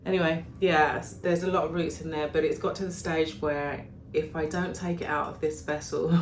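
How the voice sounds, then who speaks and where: Chatty woman, low voice, stuffy from a cold, rambling and self-correcting while showing her plants. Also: little pitch movement, normal speed, slight background noise. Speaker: plant vlogger. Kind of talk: chatty plant care vlog. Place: home room with houseplants.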